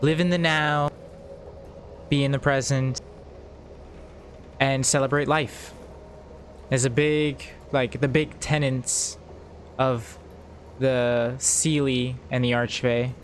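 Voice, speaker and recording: A man narrates as game master of a tabletop game, taking his time over each phrase. He reads lore in short, halting pieces and pauses to look things up. A faint hiss sits under his voice. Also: medium pitch, flat delivery.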